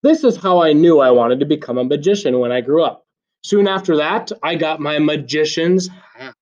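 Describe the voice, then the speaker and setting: A man reading a children's story aloud like a teacher, with expressive, deliberate emphasis. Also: fairly high pitch. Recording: slightly dull, lo-fi sound.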